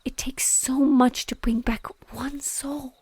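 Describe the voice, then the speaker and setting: A woman voicing a mournful, gently loving banshee in a breathy whisper, slow and tender, as in an intimate audio roleplay. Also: high voice, steady tone, no echo, full character voice. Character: sorrowful female banshee, gentle and lovingly devoted.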